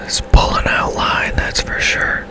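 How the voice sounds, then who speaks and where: A man whispering casual, rambling ASMR commentary over his own gameplay, musing as he goes. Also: a little slow. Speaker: gaming streamer narrating own gameplay.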